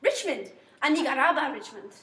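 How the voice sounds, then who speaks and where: A woman's voice: sits high, sing-song, rapid-fire, fairly bright tone. A young woman student acting out a simple beginner dialogue in careful learner phrasing, played from a class video. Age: young adult. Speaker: language student. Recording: echoey room, faint noise, played video.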